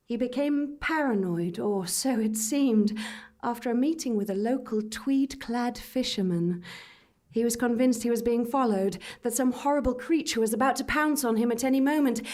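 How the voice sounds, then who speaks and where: A fairly high female voice, ominous and deliberate. She is an actress playing a troubled woman in a gothic stage drama, delivering melodramatic warnings with grave weight. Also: darkish tone.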